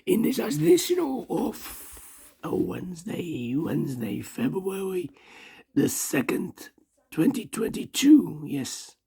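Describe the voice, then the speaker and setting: A puzzle instructor who has lost their voice, whispering hoarsely while talking through a puzzle solution and repeating rule phrases while counting cells aloud. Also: mid-range voice, wildly swooping pitch, slow pace, warm tone.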